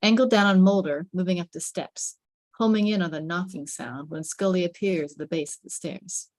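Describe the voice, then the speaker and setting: A woman's voice: lowish voice, moderate pace, darkish tone, no room sound. A woman reading stage directions as dramatic scripted narration, with suspenseful, emphatic phrasing.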